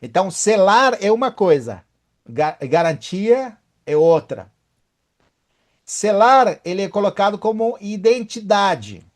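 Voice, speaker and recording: A man's voice: high-pitched, very animated, takes it slowly. A man leading a Bible study, emphatic and often asking his listeners for agreement.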